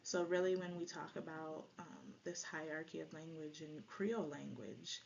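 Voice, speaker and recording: A woman's voice: low-pitched, moderate pace, gravelly, darkish tone. A woman who natively speaks Jamaican Patois gives an explanatory academic presentation, often checking in with "right?". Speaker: presenter, lecturer. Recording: dull lo-fi audio, faint noise.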